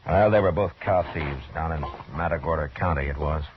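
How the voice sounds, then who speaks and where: An actor playing a steady, wary frontier lawman in a 1950s radio Western, speaking in a laconic, matter-of-fact way. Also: rumbling low, fairly quick. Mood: grim, measured. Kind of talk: 1950s radio drama acting and first-person narration. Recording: dull, noisy old radio recording.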